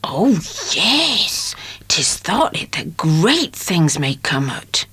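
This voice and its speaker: A woman playing a middle-aged, proud and gossipy rustic mother in an audiobook drama, breathy, with a West Country accent. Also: on the low side, big pitch swings, drawn-out words, fairly bright tone, heavily acted. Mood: proud, confiding. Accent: English West Country.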